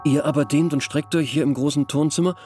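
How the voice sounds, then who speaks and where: A man plays a domineering village schoolmaster in a radio drama, irritable and commanding. His voice sits fairly high for a man, and music runs underneath. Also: steady tone, brisk, lightly acted. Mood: angry commanding. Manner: commanding, scolding, exclamatory. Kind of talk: German radio play acting.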